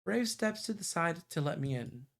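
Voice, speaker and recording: A man's voice: a little high, animated, normal speed, gravelly, slight background noise. A man livestreaming as he reads a visual novel aloud in a narrating style. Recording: music behind the voice. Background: background music.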